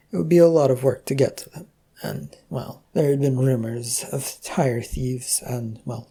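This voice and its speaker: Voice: mid-range voice, gravelly, warm tone, slightly rough. A narrator reading scripted fiction as a storyteller.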